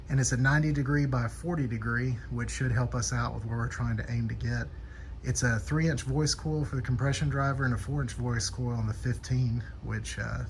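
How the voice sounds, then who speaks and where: A man presents gear in an informal, unscripted way, like an installer showing a product to viewers. His voice sits at an average male pitch. There is some noise behind him. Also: creaky. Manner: informal, off-the-cuff. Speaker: audio equipment reviewer and installer. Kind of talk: casual product overview and demo.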